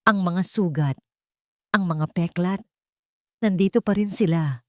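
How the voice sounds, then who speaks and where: A woman's voice: fairly low pitch, measured pace, dry recording, studio quality, lightly acted. A woman voicing a sincere, tender young woman artist in an audio romance story. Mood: vulnerable.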